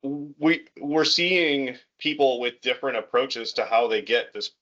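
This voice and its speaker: Bright-voiced guy cheering people on over a muffled call, chatty, repeats himself. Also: mid-range voice, fairly even intonation, unhurried. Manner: encouraging, conversational, repeats words. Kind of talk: casual video call chat.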